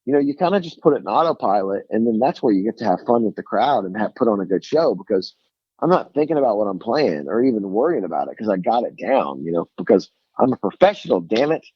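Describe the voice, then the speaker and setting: A man's voice: on the low side, very animated, fast, husky, no echo, muffled recording. A man from Tennessee with a thick Southern accent, telling rambling, self-mocking stories as a guest on the phone from his home by a lake. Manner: rambling, self-mocking storyteller with many restarts. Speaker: guest musician. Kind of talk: casual phone interview storytelling.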